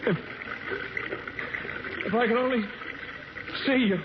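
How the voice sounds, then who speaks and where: A man's voice: extremely high pitch, slow pace, noisy background. An actor playing a shaken, wounded man who narrates his ordeal in urgent, dramatic 1940s radio style. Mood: pleading. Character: shaken, wounded grown man, intense storyteller. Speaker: first-person narrator. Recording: dull old radio recording with loud music.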